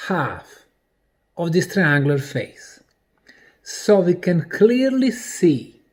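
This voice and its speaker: Slow, animated male lecturer from Bosnia. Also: sits high. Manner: deliberate, emphatic, speaking in short broken phrases. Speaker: presenter and researcher. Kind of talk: explanatory lecture presentation.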